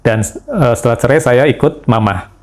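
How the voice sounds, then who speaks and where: A middle-aged man from Indonesia speaks as an interview guest, recounting his past at a steady, measured pace. He pauses often and fills gaps with short hesitations. His voice sits at an ordinary pitch for a man. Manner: measured, with frequent pauses and fillers. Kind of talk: conversational testimony.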